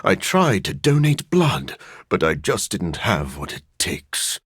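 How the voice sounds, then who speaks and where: A man playing a brooding, cerebral vampire scientist in a video game, with a husky voice and a dry, formal, measured way of speaking. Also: low voice, very animated, a bit bright, no room sound, heavily acted. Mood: deadpan.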